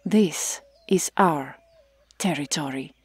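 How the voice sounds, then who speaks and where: A woman voicing a stern, commanding Amazon warrior in an ASMR roleplay, with formal, solemn phrasing and breathy half-whispers. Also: low voice, slow, no room sound, full character voice. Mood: stern, threatening.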